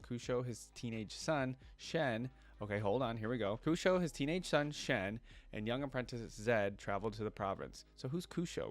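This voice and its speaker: A man hosting a reaction video, commenting casually and reading text aloud as he goes. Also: on the low side. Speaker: host of a reaction channel.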